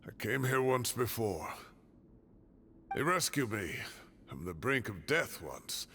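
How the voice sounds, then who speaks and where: Game role: older male professor, gravelly and sharp-edged, slow, solemn, secretive, music underneath. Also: medium pitch, big pitch swings, slight background noise, heavily acted. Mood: solemn recounting. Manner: measured, reticent, solemn. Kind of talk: dramatic scripted video game dialogue.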